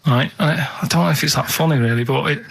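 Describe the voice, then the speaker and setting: A man with a slightly high voice, co-hosting casual radio chat. He rambles through stories in a matter-of-fact way, checking in with little tags as he goes. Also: fast talker, gravelly, husky. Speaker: radio show co-host.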